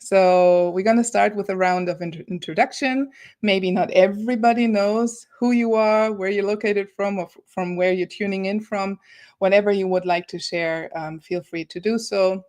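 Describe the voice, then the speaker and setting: A woman's voice: mid-range voice, steady tone, normal speed, a little mellow. A woman moderating an informal online panel in a conversational way, often voicing little quotes as she retells things. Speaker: host and moderator. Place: office, on a video call. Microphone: video call.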